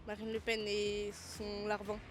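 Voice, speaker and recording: A woman's voice: medium pitch, completely flat delivery, a little slow, slight background noise. A woman passerby giving curt, dismissive answers in a street interview. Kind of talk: casual street interview opinion.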